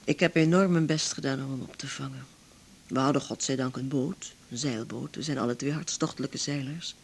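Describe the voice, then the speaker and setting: An older Dutch widow with a low voice for a woman. She is lonely and tense, and confides haltingly in the natural style of an eighties radio play. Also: steady tone, creaky, slight background noise. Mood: bitter, grieving. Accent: Netherlands Dutch. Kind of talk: naturalistic 1980s radio drama acting.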